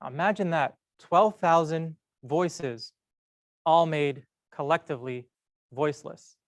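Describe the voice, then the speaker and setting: A young man giving a prepared, storytelling talk at a medical school event, measured and earnest with slow emphatic pauses. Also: on the high side, dry recording. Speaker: medical student speaker.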